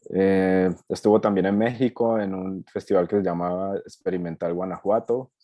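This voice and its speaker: Colombian-born male director, very low dark voice, dry close sound. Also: fairly even intonation, normal speed, dry recording. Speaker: student film director. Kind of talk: panel answer on a video call.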